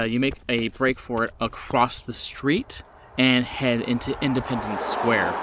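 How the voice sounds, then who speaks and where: Halting, conversational male game master. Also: mid-range voice, noisy background. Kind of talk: improvised tabletop game narration.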